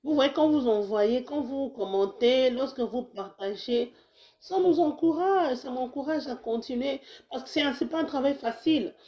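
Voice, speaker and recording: A woman's voice: sits high, moderate pace. Woman with a cold, urging viewers. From Congo. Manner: warm exhortations, frequent restarts, mixes in Lingala phrases. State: slightly hoarse with a cold. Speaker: health video host. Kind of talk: casual talk to camera. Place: lives in the United States.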